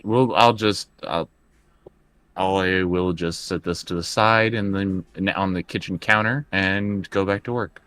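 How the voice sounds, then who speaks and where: A man playing a tabletop roleplaying game, improvising his character's actions in halting, casual talk. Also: sits low, fairly even intonation, fairly bright tone, dry recording.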